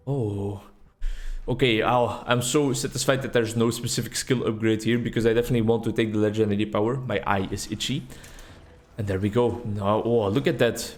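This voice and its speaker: A man's voice: medium pitch, a little mellow. A man who is a native Dutch speaker hosting a gaming video in English, with casual, rambling, playful commentary and dry humor.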